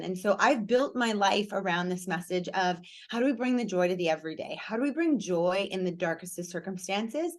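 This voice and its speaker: Hoarse, raspy woman talking with energy. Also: medium pitch. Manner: energetic, candid, lively storytelling. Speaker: podcast guest, speaker and coach. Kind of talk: interview conversation.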